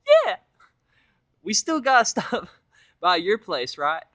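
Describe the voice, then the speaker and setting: A man's voice: sits high, wildly swooping pitch, slow, a bit bright, no echo, pitched above the performer's own voice, exaggerated voice. A man voicing a feisty, friendly young female pony in a story reading. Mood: excited.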